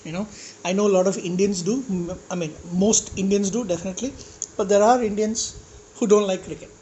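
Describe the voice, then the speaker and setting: A man vlogging with a slightly high voice, talking casually and bluntly. He rambles with restarts and asides, and curses when exasperated. A faint hiss sits under his voice. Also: steady tone, fairly quick, dark tone. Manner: blunt, exasperated, swearing at critics. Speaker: food vlogger. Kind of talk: casual rant to camera while eating.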